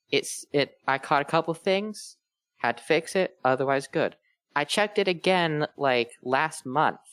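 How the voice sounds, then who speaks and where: A man's voice: on the high side, fairly bright tone, smooth, dry recording. A man chatting casually as a panelist, sharing his experience in an earnest, phrase-by-phrase way. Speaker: guest panelist.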